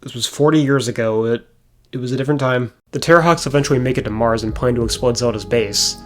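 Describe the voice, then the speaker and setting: A man with a hoarse voice recapping episodes of an old show as a review host, with dry, sarcastic asides. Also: medium pitch, quick pace. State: hoarse after a concert.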